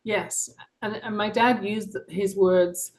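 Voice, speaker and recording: A woman's voice: medium pitch, fairly even intonation, slow pace. A middle-aged woman with a London English accent, an author telling her story earnestly and passionately in an interview. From England. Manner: earnest, passionate, with soft laughs and frequent ums. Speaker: author and guest. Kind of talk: reflective personal interview.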